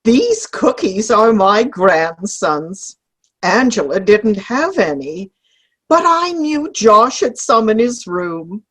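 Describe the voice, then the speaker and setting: A woman plays a pious, fussy grandmother hosting in a stage comedy. Her husky voice is slow and swings widely in pitch, prim and polite. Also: big pitch swings. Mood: fond. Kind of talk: play reading. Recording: video call play reading.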